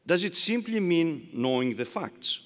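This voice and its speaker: A man's voice: on the high side, sing-song, measured pace. Older Greek-accented man in arena reverb. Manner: rhetorical, emphatic, repeats phrases for effect. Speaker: commencement speaker. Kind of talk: formal commencement speech. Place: large arena.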